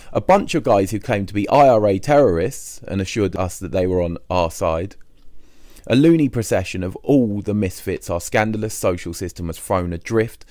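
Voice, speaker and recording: A man narrating an audiobook diary in the first person, expressive and measured, with short pauses between phrases. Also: lowish voice, dry recording. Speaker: audiobook reader.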